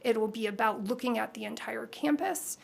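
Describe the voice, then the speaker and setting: A woman from the school board presenting at a public meeting, speaking haltingly with many fillers. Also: fairly high pitch, creaky, husky.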